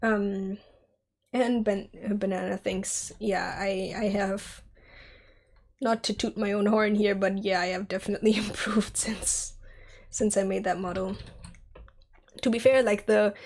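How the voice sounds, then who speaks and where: A woman's voice: medium pitch, darkish tone. A young woman in her twenties streaming while she sculpts, chatty and giggly as she answers chat, her voice scratchy from a cold. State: scratchy from a sore throat and cold. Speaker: streamer, 3D artist.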